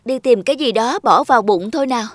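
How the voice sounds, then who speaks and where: A woman voicing a lazy, dreamy young man in a cartoon, a breezy flatterer given to exclamations. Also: on the high side, fast talker, faint music behind, natural voice. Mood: lazy, dismissive. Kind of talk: scripted cartoon dubbing.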